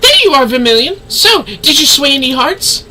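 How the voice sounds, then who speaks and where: A man's voice: lively intonation, normal speed, bright, forceful tone, soft background music, full character voice, low-quality recording. A man voicing a cheerful, chatty small female guild receptionist from a video game, with perky delivery. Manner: perky, high, swooping delivery.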